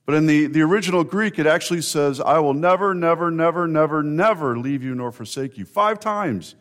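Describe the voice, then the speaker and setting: A man preaching an Easter sermon as a pastor, earnest and warm in delivery, sometimes building to emphatic repetition. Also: on the high side, fairly quick.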